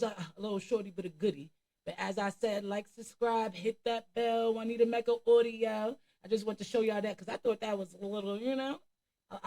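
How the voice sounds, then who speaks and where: A woman's voice: mid-range voice, a little mellow, low-quality recording. A woman hosting a reaction channel, talking casually and emphatically to viewers in a streetwise, conversational way. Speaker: commentary channel host.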